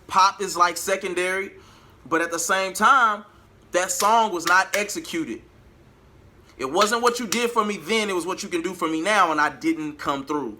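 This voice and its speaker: A man's voice: high-pitched, moderate pace, pushed and bright, a little hiss or hum. A man telling a personal story to his viewers in a casual, emphatic way, repeating phrases for emphasis. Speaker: vlogger and R&B singer.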